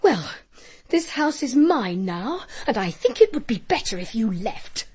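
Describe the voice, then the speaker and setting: An actress playing a spoiled, haughty young wife in a radio drama, husky, indignant and biting. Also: female voice, fairly high pitch, sing-song, measured pace, darkish tone, exaggerated voice. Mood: indignant, spiteful.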